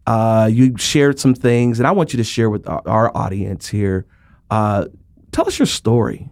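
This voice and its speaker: Guy hosting a podcast, lowish voice, dry close sound, warm encouraging questions. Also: unhurried, no room sound. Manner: encouraging, affirming interviewer. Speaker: podcast host. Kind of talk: conversational interview.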